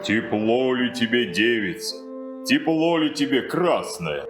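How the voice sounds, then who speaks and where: Slow, swooping old frost spirit. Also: medium pitch, big pitch swings, over music. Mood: teasing, testing. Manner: drawn-out, singsong questioning. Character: Morozko, old male frost spirit, teasing and commanding. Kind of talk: fairy-tale audio theatre acting.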